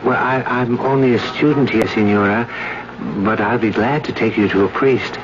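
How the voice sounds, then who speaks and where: An actor playing a polite, earnest young male student, gentle and a little hesitant, in a 1970s radio drama. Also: medium pitch, fairly even intonation, normal speed, background noise, close to an everyday voice. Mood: astonished, concerned. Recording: dull 1970s radio recording with music.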